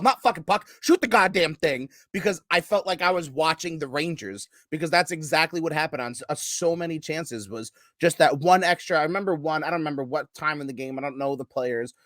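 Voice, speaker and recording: A man co-hosting a casual sports podcast, animated and profane, now and then bursting into loud yelling. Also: fairly high pitch, brisk, hardly any pauses, no echo, studio quality. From United States. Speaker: podcast co-host.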